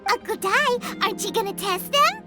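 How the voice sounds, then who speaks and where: Tiny fairy girl, squeaky high, cheeky, blunt, game music behind. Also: big pitch swings. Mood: teasing. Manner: cheeky, blunt, quick to interrupt. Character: tiny chirpy floating fairy companion girl. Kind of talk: game dub acting. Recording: game score under the voice.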